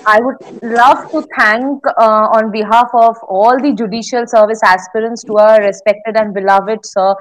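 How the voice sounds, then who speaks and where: A young woman with a slightly high voice leads an interview over a video call. She asks her questions politely and formally. She is speaking from a small room at home. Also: fairly even intonation, normal speed, few pauses, a little hiss or hum, rough recording. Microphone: laptop webcam on video call.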